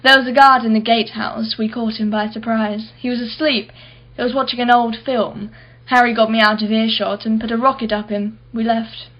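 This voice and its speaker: Woman acting haughty rich businesswoman, higher voice, clipped formal delivery, guarded, radio drama, dull audio. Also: flat delivery, normal speed, natural voice. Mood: guarded, recounting. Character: imperious wealthy businesswoman, guarded and impatient, slowly reflective.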